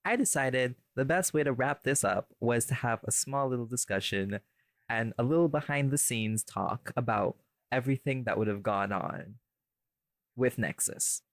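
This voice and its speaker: A man hosts an unscripted podcast in a mid-pitched voice with a dry, close sound. He rambles and banters, often correcting himself and putting on a playful show-host formality. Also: normal speed, no room sound. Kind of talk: casual unscripted podcast chat, playful mock-formal introductions.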